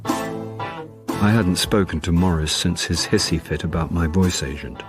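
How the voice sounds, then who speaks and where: A middle-aged British man with a lowish voice. He is rueful and evasive, dryly sardonic and quick to defend himself, in radio drama style. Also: music in the background. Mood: wry narration. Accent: British English. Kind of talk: radio drama dialogue and dry narration.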